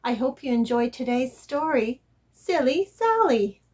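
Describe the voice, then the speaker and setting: A woman hosting story time, reading a picture book aloud to young children in a deliberate, sing-song manner. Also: sits high, sing-song, darkish tone. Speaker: children's storybook reader and host.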